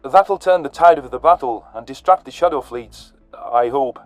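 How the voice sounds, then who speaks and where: Man acting an earnest, grieving alien soldier, mid-pitched. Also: moderate pace, no room sound, faint music behind, lightly acted. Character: grieving, vengeful alien male soldier narrating his war. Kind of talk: first-person sci-fi story narration and dialogue.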